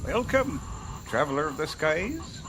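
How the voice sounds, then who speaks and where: High, lilting, gentle monk. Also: male voice, sing-song, a little slow, noisy background. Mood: welcoming. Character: gentle, obliging monk welcoming travelers. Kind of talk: radio drama acting.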